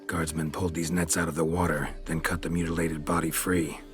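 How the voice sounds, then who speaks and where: Gruff fantasy monster slayer, very low register, laconic, deadpan, gravelly, for a video game. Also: male voice, fairly quick. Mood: stoic, businesslike. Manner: terse, clipped, deadpan. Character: gruff, stoic monster hunter, laconic and world-weary. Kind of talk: video game dialogue acting. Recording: music behind the voice. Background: game music.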